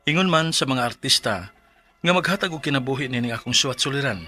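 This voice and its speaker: A man's voice: mid-range voice, animated, quick pace, sharp tone. An actor with a Filipino Bisaya accent playing a mild husband and father in his thirties in a radio drama. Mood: earnest narrating. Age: 30s. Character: Mark, mild thirty-year-old husband and father, let down. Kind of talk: Philippine radio drama acting and letter narration.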